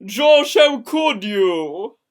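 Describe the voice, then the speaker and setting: A jealous, wounded teenage girlfriend as a female reader acts her out, in an amateur story reading. Her voice swings widely in pitch as she flings out each accusation, never rushing a word. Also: very animated. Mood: betrayed, furious. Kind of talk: dramatic fan fiction reading.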